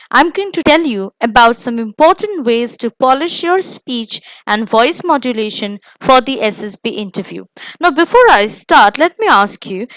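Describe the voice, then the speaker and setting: High-pitched, expressive Indian woman presenting a lesson. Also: no echo, low-quality recording. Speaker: host of an exam coaching video. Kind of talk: scripted instructional presentation.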